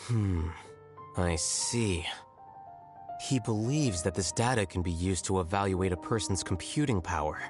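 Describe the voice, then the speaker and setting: A cool, aloof game scholar. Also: male voice, low voice. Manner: calm, measured, detached. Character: aloof male scholar, cool, logical and blunt. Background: game music.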